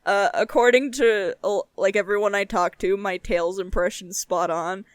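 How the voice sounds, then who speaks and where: A woman's voice: mid-range voice, steady tone, normal speed, clear, resonant tone, no echo, studio quality. A young adult woman chatting casually as a podcast co-host, giggly and emphatic, with plenty of swearing. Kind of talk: casual chat.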